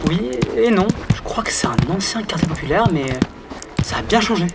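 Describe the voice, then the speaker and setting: An actor playing a level-headed, probing adventurer, measured and insistent, in an audio drama. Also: male voice, sits high, big pitch swings, full character voice. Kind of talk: French audio drama acting. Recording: distant voice, clear background noise.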